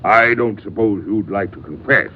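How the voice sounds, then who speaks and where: An actor playing a strict, pompous ship captain in a 1950s radio drama. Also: male voice, on the low side, normal speed, natural voice. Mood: stern. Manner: stiff, authoritative. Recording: tinny noisy 1950s radio broadcast.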